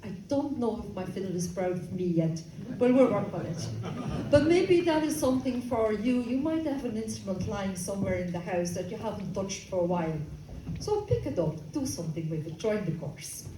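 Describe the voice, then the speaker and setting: A woman's voice: mid-range voice, animated, moderate pace, noisy background. A woman in her fifties from Flanders with a Flemish accent, chatting to a concert audience as she introduces tunes and their history. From Flanders, Belgium. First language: Dutch. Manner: chatty, explains history, addresses the audience directly. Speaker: student and concert presenter. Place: concert hall. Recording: roomy, from a distance, faint ambience.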